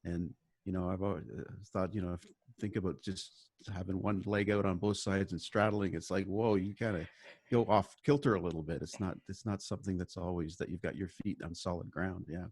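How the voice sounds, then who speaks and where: A man with a low, deep voice, co-hosting a podcast and talking things through in a relaxed, easy way. His voice sounds dry and close. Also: brisk, breathy edge, no echo. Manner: relaxed, thinking aloud. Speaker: podcast co-host. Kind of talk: casual conversational talk.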